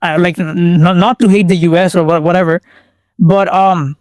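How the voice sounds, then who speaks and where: A man's voice: high-pitched, normal speed, dry recording. A young man from Bangladesh, chatting casually as a guest with lots of fillers and restarts. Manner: relaxed slangy talk full of fillers and restarts. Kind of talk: casual chat.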